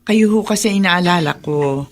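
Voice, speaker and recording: A woman's voice: lowish voice, moderate pace, close to an everyday voice. A woman playing a hardworking, indulgent mother, earnest and placating, in radio drama style. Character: hardworking, patient, indulgent market-vendor mother. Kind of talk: Filipino radio drama acting.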